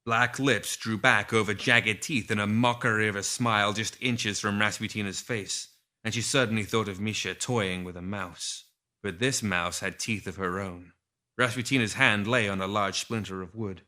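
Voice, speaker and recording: A man's voice: lowish voice, steady tone, normal speed, pushed and bright. A man reading scripted fiction aloud as a storyteller, with a theatrical, suspenseful delivery. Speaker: story reader. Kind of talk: scripted dramatic fiction narration.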